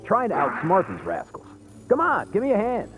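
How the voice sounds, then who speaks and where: A man's voice: high-pitched, wildly swooping pitch, moderate pace, over music. A man voicing an easygoing, friendly veterinarian in a video game, casual and teasing. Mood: laid-back, teasing. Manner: casual, breezy.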